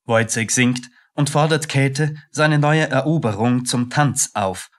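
Male radio play narrator, medium pitch. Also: slow.

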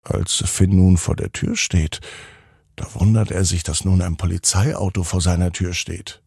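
A man narrates for children in a low, creaky voice. He speaks as a podcast storyteller, addressing his young listeners directly with simple phrasing. The recording is close and dry. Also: fairly even intonation, unhurried, a little mellow, dry recording. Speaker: podcast host and bedtime storyteller.

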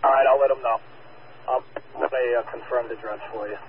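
A man's voice: mid-range voice, fairly even intonation, fairly quick, a little hiss or hum. A man working as a fire field communications officer, giving clipped, procedural progress reports over a phone-like two-way radio.

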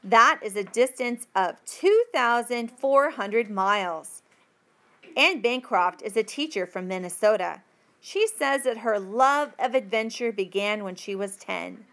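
A woman reading a textbook passage aloud as a teacher would, with careful, deliberate phrasing. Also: on the high side, animated, a bit bright.

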